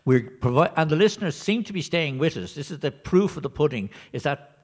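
A man's voice: a little high, expressive, a little fast. A middle-aged man answering a question on a conference panel in a function room, reflective and hesitant with restarts. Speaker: panel member for community radio.

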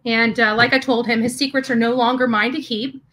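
A woman in her forties giving a halting, indignant personal vlog rant, repeating words for emphasis, recorded indoors in a small room. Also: fairly high pitch, steady tone, pushed and bright. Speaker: YouTuber. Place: small hotel or bedroom, indoors.

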